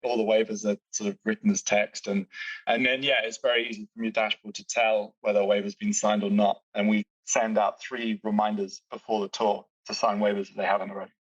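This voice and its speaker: British guy explaining booking software, kinda far off mic, muffled sound. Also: on the low side, little pitch movement, a little fast, dry recording. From Britain. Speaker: software company executive. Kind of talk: explaining product features in a podcast chat. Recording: distant dull-sounding mic, dry.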